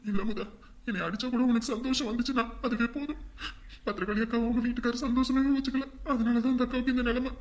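A woman playing a remorseful husband, low and quick. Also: steady tone, a little mellow, natural voice. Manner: low subdued pleading. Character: remorseful husband, reformed drunkard. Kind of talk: Tamil family skit acting.

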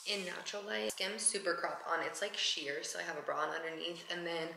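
A woman's voice: fairly low pitch, steady tone, a little fast, creaky, pushed and bright. A woman in her twenties chatting casually to camera in a daily vlog, upbeat and full of "like". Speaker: vlogger. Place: large bright home interior. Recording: roomy echo, faint noise.

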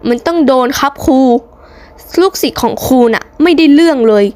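A woman's voice: high-pitched, moderate pace, darkish tone, close to an everyday voice. Woman voicing a blustering young henchman. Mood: indignant. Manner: blustering, accusing. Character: aggrieved, boastful young male henchman. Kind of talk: hobby cartoon story dubbing.

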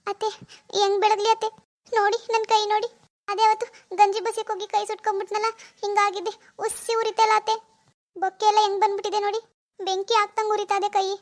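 A woman's voice: sits high, steady tone, fairly quick, natural voice. A woman playing a scheming, lazy young wife in a domestic drama, sly and theatrical in her delivery. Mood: whiny self-pity. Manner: sly muttering alone, whiny playacting to others. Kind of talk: amateur domestic drama acting.

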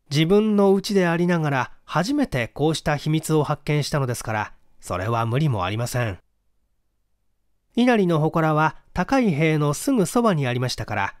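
A man reading a classic detective story aloud as dramatic, measured, expressive scripted narration. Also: a little high, no room sound, studio quality. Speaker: audiobook reader, stage actor.

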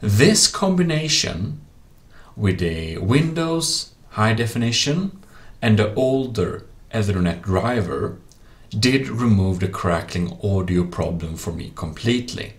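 Deep-voiced man presenting a tech tutorial. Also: expressive, slow. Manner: non-native English phrasing. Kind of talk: casual explanatory screen-recording narration. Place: voice-over for a screen recording.

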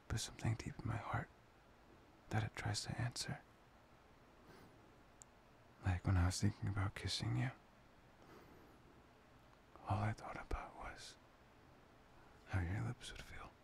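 A man playing a tender, playful boyfriend in an intimate ASMR roleplay, breathy and often slipping into a whisper. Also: lowish voice. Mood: tender, yearning. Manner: hesitant, intimate, soft-spoken. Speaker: ASMR voice performer.

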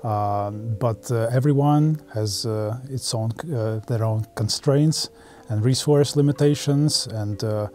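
Halting, mellow man. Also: medium pitch. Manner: halting, choppy phrasing. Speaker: product manager, interview guest. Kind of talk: explanatory interview answers. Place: studio room with curtains and audio gear.

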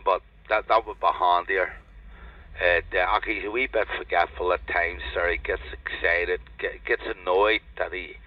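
Deep-voiced older Northern Irish man on radio. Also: no room sound. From Northern Ireland. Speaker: amateur radio operator. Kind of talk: casual radio chat. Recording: dull lo-fi radio network transmission.

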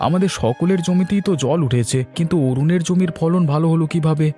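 Man playing a mellow, quick village farmer uncle. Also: on the high side, soft background music, lightly acted. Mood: worried. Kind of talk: educational cartoon dialogue.

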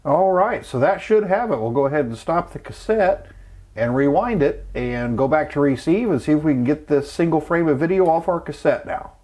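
A man's voice: on the high side, lively intonation, normal speed, a little mellow. A middle-aged man, narrating a casual hands-on tutorial in a plain-spoken, step-by-step way. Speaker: host of a vintage electronics channel, amateur radio hobbyist.

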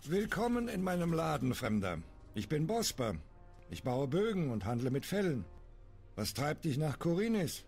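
A man's voice: fairly high pitch, big pitch swings, normal speed, a little mellow, slightly rough, heavily acted. A man playing a frank, plain-spoken bow-maker and fur trader in a fantasy video game. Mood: welcoming. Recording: music behind the voice.

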